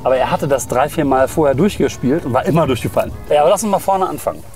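A man hosting a casual interview while driving, asking relaxed, teasing questions on a lapel mic. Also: a little high, quick pace, faint music behind. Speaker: host. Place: driving a luxury car on city streets. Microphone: clip-on lapel mic.

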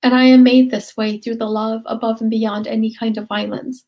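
A woman in her forties, an author, speaking gently and earnestly from home on a video call. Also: fairly high pitch, little pitch movement, normal speed. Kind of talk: conversational author talk. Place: home room.

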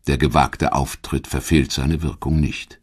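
Deep, husky male documentary narrator. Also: steady tone. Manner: measured, dramatic storytelling delivery. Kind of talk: scripted audio documentary narration. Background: background music.

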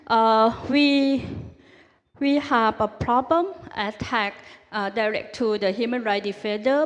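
Woman, audience questioner, hesitant English, long hall reverb. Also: medium pitch. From Thailand. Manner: halting, many restarts and fillers. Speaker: audience member asking a question. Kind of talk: question at a forum. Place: large conference hall.

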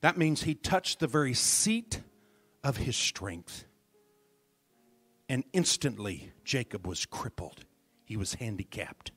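A man preaching a sermon as minister at a church service, dramatic, dropping his voice to near whispers for emphasis and calling out to the congregation. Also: medium pitch. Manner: calls out to the congregation.

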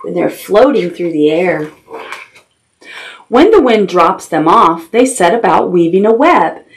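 A middle-aged woman hosting a children's storytime, reading picture books aloud and adding simple, expressive questions and asides for toddlers. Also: lowish voice, measured pace. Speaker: children's library storytime host. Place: small decorated indoor room with puppets.

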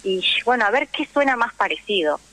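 Woman, Argentine Rioplatense accent, bright clear-cut tone, animated explanations, casual radio interview guest. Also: a little high, a little fast, poor audio. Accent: Argentine Rioplatense Spanish. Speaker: interview guest, actress and theatre teacher.

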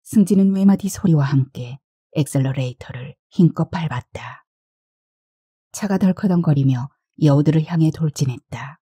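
Female audiobook narrator, very low pitch, very dark tone, scripted fiction reading. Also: normal speed, breathy edge, no room sound, studio quality. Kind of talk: scripted literary story narration.

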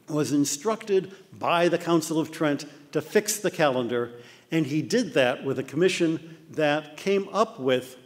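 Older American man, fairly high voice, lively lecturer in a big echoing hall. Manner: animated storytelling with mock voices. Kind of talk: illustrated talk. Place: dark lecture hall with projector screen. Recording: long hall reverb.

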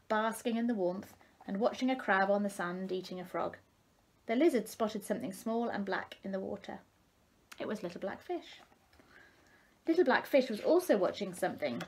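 A woman's voice: medium pitch, darkish tone. A woman, narrating a children's story expressively. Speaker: storybook reader. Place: living room, indoors.

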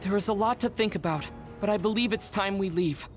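A woman playing a calm, formal, practical young soldier in an anime game. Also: medium pitch, fairly quick, lightly acted. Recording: music behind voice.

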